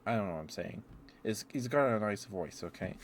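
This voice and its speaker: A man's voice: fairly low pitch, lively intonation, fairly quick, slight background noise. A man chatting in a meandering, casual way as he hosts an ASMR livestream. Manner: meandering, often chuckling to himself. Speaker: ASMR streamer, host. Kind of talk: rambling ASMR livestream chat.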